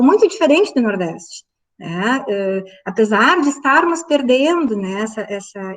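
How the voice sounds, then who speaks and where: A woman's voice: a little high, recorded from a distance. Woman lecturing, hesitant. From southern Brazil. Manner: halting, many fillers, checks in with the audience. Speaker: university lecturer. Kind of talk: academic lecture.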